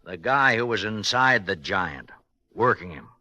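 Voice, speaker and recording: An actor playing a knowing, dry, bluntly paternal investigator in a 1950s radio drama. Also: male voice, fairly low pitch, animated, measured pace, pushed and bright, dry recording, highs cut off, exaggerated voice. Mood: grave, explaining.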